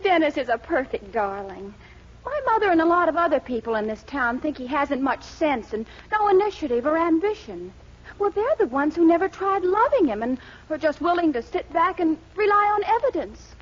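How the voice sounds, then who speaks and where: An actress playing an earnest, lovelorn young sweetheart in a 1940s radio comedy, with an emphatic, pleading, melodramatic manner. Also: female voice, high voice, very animated, moderate pace. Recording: muffled, noisy 1940s radio recording.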